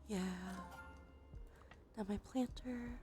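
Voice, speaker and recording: A woman streamer chatting in a soft, breathy, often whispered ASMR style, murmuring gently as she thinks aloud. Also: on the high side, steady tone, slow pace. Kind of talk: cozy ASMR game stream chat. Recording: soft background music. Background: music.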